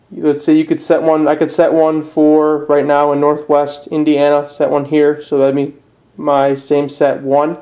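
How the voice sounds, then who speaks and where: A man's voice: a little high, warm tone. A man giving a casual how-to tutorial in a plain, unpolished, matter-of-fact way. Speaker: how-to video presenter. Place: indoors at a table, demonstrating a device.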